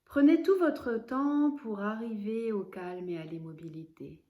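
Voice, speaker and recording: Female yoga nidra guide, mid-pitched, very slow delivery, calm and measured, leaving space between instructions. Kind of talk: guided relaxation meditation, spoken instruction.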